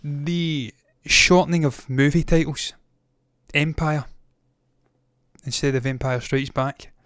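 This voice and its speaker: Man in his thirties, movie news host, opinionated, rambling, smooth resonant voice, dry sound. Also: on the high side, steady tone, takes it slowly, fairly bright tone, no room sound. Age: 30s. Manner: opinionated, rambling, jokey asides. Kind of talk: casual movie news chat, reading chat.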